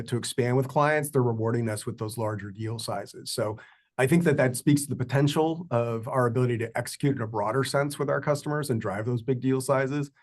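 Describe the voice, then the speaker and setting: A male finance executive gives answers on an earnings call. His voice sits at a middle pitch for a man. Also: darkish tone.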